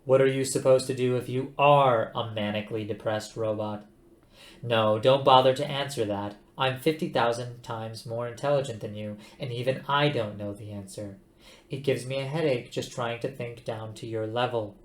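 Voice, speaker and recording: A man's voice: mid-range voice, steady tone, natural voice. A man voicing a weary, depressed male robot who talks down to everyone. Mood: gloomy. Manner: slow, weary and condescending. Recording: roomy echo.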